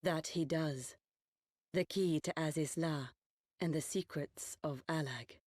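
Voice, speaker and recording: An actress playing a poised, condescending dark sorceress in a fantasy video game, with a measured, archaic and quietly menacing manner. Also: female voice, low-pitched, dry recording. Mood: cool, assured. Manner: measured, archaic, knowing and superior.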